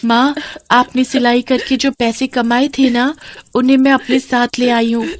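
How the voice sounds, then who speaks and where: A woman's voice: fairly high pitch, little pitch movement, measured pace, dry recording, close to an everyday voice. A woman voicing a caring little daughter who worries about her mother. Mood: worried.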